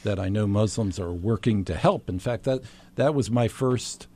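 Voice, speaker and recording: Guy hosting a local talk show, friendly, kinda halting, repeats words. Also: lowish voice, warm tone. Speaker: host.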